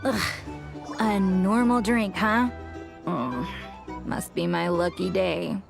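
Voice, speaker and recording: A playful, slow-drawling young drinker. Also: female voice, lowish voice, vocal fry, music in the background, exaggerated voice. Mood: disappointed. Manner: casual, playful. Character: spirited young woman, easygoing drinker, playful and casual. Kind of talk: video game voice acting.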